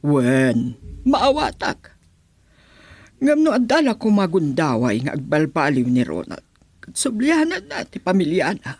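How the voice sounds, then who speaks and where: A man's voice: fairly high pitch, huge pitch swings, unhurried, a little mellow, breathy edge, dry recording, heavily acted. A man acting an anxious, pleading parent-in-law in an Ilocano radio drama.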